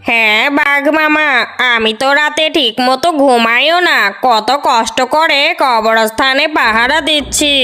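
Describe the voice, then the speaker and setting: A woman's voice: high voice, sharp tone. A woman voicing an anxious, fretful animal graveyard watchman in a children's cartoon. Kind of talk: animated children's story dialogue. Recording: music behind.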